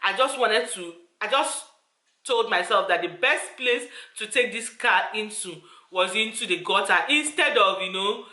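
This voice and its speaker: A woman's voice: mid-range voice, lively intonation, a little slow, pushed and bright, roomy sound. A woman telling a personal story to her viewers, earnest and repetitive, often calling out to them directly. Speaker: vlogger.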